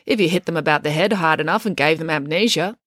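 A woman's voice: sits low, quick pace, fairly bright tone, no echo, studio quality. A woman voicing a pedantic, know-it-all boy in a storybook.

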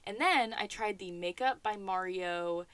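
A woman's voice: mid-range voice, measured pace, a bit bright, smooth, dry recording. A young woman co-hosting a podcast, chatting casually and telling stories with frequent laughter. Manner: chatty, storytelling, laughs often. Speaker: podcast co-host. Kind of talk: casual chat.

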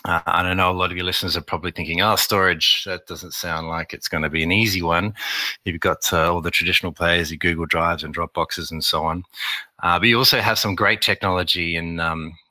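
A man's voice: deep voice, a little fast, no room sound. A man with an Australian accent, a marketing officer as a podcast guest, answering at length with many fillers. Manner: relaxed, rambling with many fillers.

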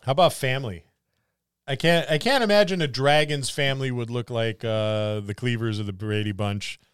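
Smooth-voiced man in casual chat. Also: medium pitch, moderate pace, dry recording, studio quality. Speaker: podcast co-host. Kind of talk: casual chat about tabletop gaming.

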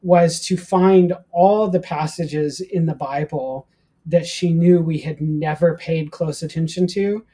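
Husky, mellow American man telling stories. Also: on the high side, fairly even intonation, slow. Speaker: guest, professor of ancient Christianity. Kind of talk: casual interview storytelling.

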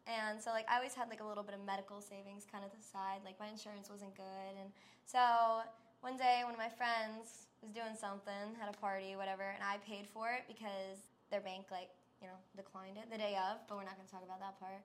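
A woman from New York, a podcast guest telling her story in casual chat, talking fast with lots of "like" and easy laughs. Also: mid-range voice, flat delivery, a little hiss or hum. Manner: chatty, laughs easily, lots of "like".

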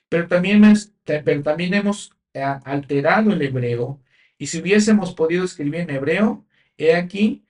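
A man's voice: on the high side, darkish tone. Spanish-speaking man explaining scripture history. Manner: explanatory, with frequent "eh" fillers and restarts. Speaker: podcast host. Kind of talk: religious teaching talk.

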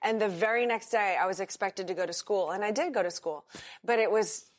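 An American woman interviewed as a guest, speaking openly about her life with frequent fillers and restarts. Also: medium pitch. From United States. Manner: candid, halting with fillers. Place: hotel in Singapore.

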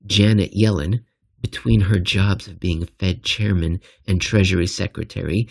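A man reading a scripted financial essay aloud with emphatic, deliberate phrasing and a wry edge. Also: lowish voice, creaky, a little mellow, husky.

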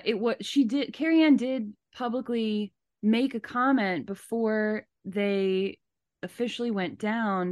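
A woman's voice: mid-range voice, no room sound, studio quality. A woman hosting a podcast, giving casual, opinionated commentary in an emphatic, rambling way. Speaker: podcast host.

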